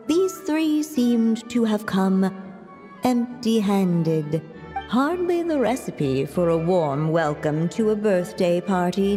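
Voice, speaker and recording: A woman playing a composed storybook narrator in a video game, formal and measured with a wry, teasing edge. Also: lowish voice, darkish tone. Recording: long reverb over music.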